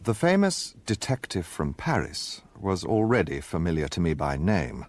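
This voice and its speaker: A detective's loyal, gentlemanly companion narrating a radio drama. He is earnest and expressive, in a lowish voice recorded close and dry. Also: moderate pace, dry recording.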